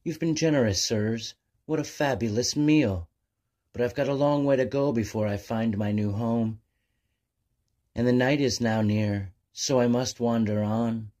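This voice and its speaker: A man's voice: mid-range voice, unhurried, darkish tone, pitched above the performer's own voice, close to an everyday voice. A man voicing a sweet young female albatross, earnest and polite, in a children's storybook. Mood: grateful.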